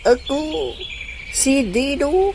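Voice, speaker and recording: A woman playing a calm, mysterious otherworldly being who hands out quests in a comic audio drama, speaking solemnly. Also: sits high, very slow, gravelly, noisy background, exaggerated voice. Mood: matter-of-fact.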